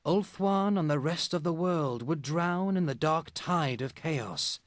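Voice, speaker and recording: A proud scholarly elf in a fantasy game, formal n earnest, slightly high voice, very dry recording. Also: male voice, animated, dry recording. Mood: grave warning. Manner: formal, measured storytelling. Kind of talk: fantasy video game cutscene acting.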